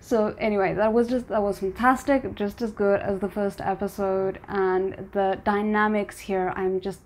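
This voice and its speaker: A woman giving casual, spontaneous reaction commentary as a video host, thinking aloud in an animated, exclamatory way. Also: medium pitch, moderate pace, slight background noise.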